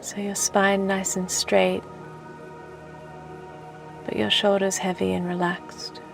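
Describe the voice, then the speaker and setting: Soft, low-pitched woman guiding meditation with creaky voice. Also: level tone. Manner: gentle, soothing, unhurried with long pauses. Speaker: meditation teacher and host. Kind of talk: guided relaxation meditation. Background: music.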